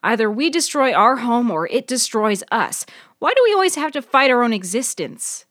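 A woman's voice: on the high side, very animated, moderate pace, fairly bright tone, no echo, pitched above the performer's own voice. Anxious, insistent young-hearted heroine for audio fiction female narrator acting, earnest, searching delivery, close dry sound. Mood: anxious, pleading. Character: Maddie, anxious, earnest woman who loves the bog. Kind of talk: dramatised audiobook dialogue.

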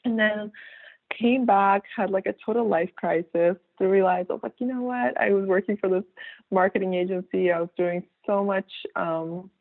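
A woman in her thirties, born in Kazakhstan with Russian as her first language, talks loosely as a guest, full of fillers and restarts. Her voice has a gravelly fry to it, and it comes through a thin, phone-like line. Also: mid-range voice, moderate pace, no echo. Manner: rambling, frequent fillers and restarts, laughs easily. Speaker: guest artist. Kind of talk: casual interview talk.

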